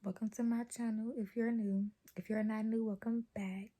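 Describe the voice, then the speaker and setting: Soft-spoken young woman with a low, husky voice. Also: flat delivery, moderate pace. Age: young adult. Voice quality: naturally low voice. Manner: talks softly and low, rambling with many fillers. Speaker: vlogger. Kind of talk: casual chat to camera. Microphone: camera close to her face.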